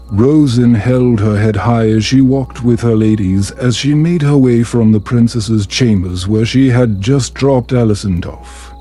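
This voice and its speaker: A man narrating a story in a calm, soft, soothing and measured way, meant for bedtime. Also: mid-range voice.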